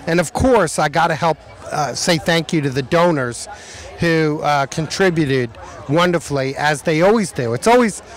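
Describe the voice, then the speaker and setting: A man's voice: a little high, moderate pace. Upbeat man thanking everyone outdoors. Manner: enthusiastic, repeats "awesome". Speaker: law firm partner speaking at a charity event. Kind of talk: casual thank-you speech. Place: outdoors at an event.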